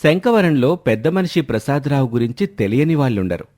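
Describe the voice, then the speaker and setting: A man tells a scripted story as narrator. His pitch is average for a man and moves in a lively, animated way. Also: brisk.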